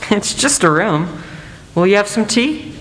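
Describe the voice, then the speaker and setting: A woman's voice: mid-range voice, animated, measured pace, close to an everyday voice. A young woman playing a shy, polite, lonely teenage boy in a radio play, hesitant and courteous. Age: teenager or young adult. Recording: echoey hall, faint noise.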